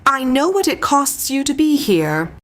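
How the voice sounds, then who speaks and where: Actress as a higher-voiced, resolute human negotiator. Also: female voice, big pitch swings. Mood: earnest. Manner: earnest, deliberate, resolute. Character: determined human woman negotiator, quietly defiant. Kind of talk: sci-fi audio story dialogue.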